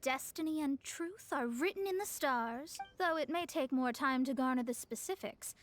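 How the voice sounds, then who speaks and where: A woman's voice: sits high, moderate pace, slight background noise, natural voice. An actress voicing a proud, confident young female astrologist in a video game, speaking with poised self-assurance. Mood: self-assured.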